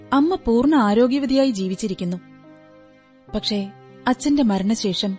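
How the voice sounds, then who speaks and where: A woman's voice: on the high side, mellow, lightly acted. A woman playing an earnest, talkative, polite client who explains her troubles in detail in a radio drama. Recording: radio drama with music behind. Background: music.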